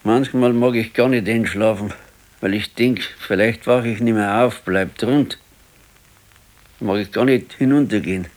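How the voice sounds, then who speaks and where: A man's voice: on the low side, a little slow, a little mellow, close to an everyday voice. A man playing an ailing, stubborn, brooding old husband in a radio play, laconic and weary, with a southern German, Bavarian accent. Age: older. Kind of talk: naturalistic radio play acting.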